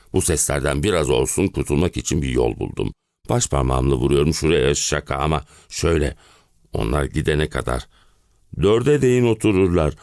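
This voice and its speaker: A man giving a theatrical, expressive dramatic reading of a stage play, as an actor narrating audio stories. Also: very deep voice, gravelly, dry recording, clean studio sound. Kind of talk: dramatic performed reading of a one-act play.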